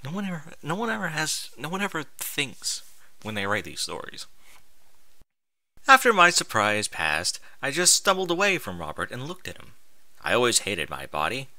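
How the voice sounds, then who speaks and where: A man's voice: medium pitch, very animated, moderate pace, sharp tone, no room sound. A man reading a story aloud with exasperated, sarcastic, sweary commentary. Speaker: host reading and mocking amateur fan fiction aloud.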